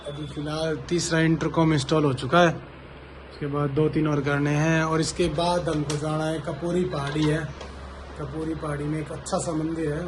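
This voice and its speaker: A man with a fairly high voice talks in a casual vlog style. His carries a flavour, and he explains things informally as he goes. The recording has clear background noise from the location. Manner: informal, chatty, explaining as he works. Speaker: vlogger and CCTV installer. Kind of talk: casual vlog talk addressed to viewers. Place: on location at work sites. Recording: clearly noisy location sound, traffic.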